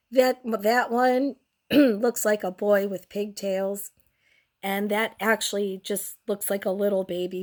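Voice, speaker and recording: A young woman with a cold and a nearly lost voice, hosting a casual commentary show in a crude, sardonic way. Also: medium pitch. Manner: crude, sardonic, slow and drawn out. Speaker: host.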